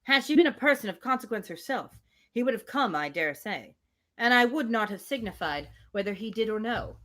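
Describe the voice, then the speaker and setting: A woman reading a classic novel aloud on a livestream, expressive, slipping between literary narration and casual, playful asides. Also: a little high, a little fast.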